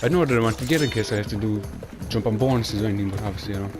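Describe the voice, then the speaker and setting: A young Irish man chatting quickly and rambling while he plays through a platform game. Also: fairly low pitch. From Ireland. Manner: fast, rambling, chatty with Irish phrasing like "class". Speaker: gaming channel host. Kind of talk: casual gameplay commentary. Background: game music.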